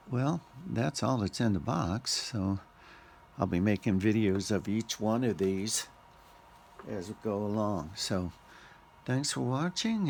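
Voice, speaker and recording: Gravelly low-voiced man, casual unboxing commentary. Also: a little mellow, slight background noise. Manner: unscripted, short clipped remarks with pauses. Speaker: vlogger unboxing gear.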